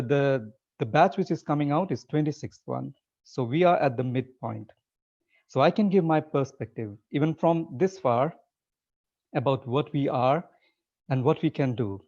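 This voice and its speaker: A man, talking in a warm, mellow tone. He speaks in an easygoing, conversational way and often restarts his sentences. The sound is a little dull and muffled, like audio from a video call. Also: a little high. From Nepal. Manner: relaxed and conversational, with frequent restarts. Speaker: guest speaker. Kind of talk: informal webinar talk. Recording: slightly muffled webinar audio.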